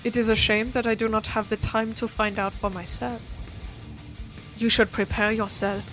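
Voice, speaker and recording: A woman's voice: on the high side, level tone, normal speed, a bit bright, soft background music, close to an everyday voice. A woman voicing a composed, formal non-human observer in an audio roleplay, analytical and detached yet curious. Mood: detached, intrigued.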